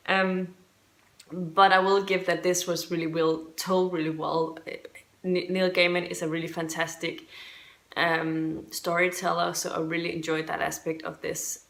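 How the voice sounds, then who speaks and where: A woman's voice: on the low side, a bit bright. A woman from Denmark with a Danish accent, giving a casual book review to viewers in a hesitant, rambling, self-correcting way. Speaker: book reviewer and video host.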